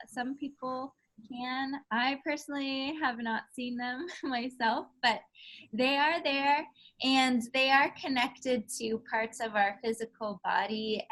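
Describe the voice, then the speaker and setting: A woman speaking as a sound healing therapist in a podcast interview, soft-spoken, explaining things gently. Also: a little high, poor audio. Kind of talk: conversational interview answers. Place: podcast recording.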